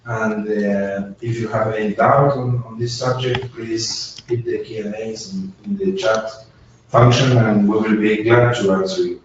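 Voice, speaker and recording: A man, a lawyer giving a measured business presentation in a webinar. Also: on the low side, fairly even intonation, a little hiss or hum. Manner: measured, deliberate delivery. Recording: echoey, distant, dull webinar audio.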